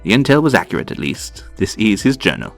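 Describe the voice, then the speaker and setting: Stuffy tiny sergeant acted by a man, lowish voice, very expressive, proper, grumbling, comic dub. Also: fairly quick, gravelly, faint music behind. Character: stuffy tiny Lilliputian mounted police sergeant. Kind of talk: comic dub character acting.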